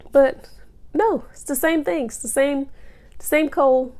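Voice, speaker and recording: A woman's voice: high-pitched, unhurried, a little mellow. A woman vlogging casually about her pregnancy, explaining things step by step in a chatty way, sounding a little nasal from a cold. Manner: chatty, explaining step by step, many ums and you-knows. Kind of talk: casual chat to camera.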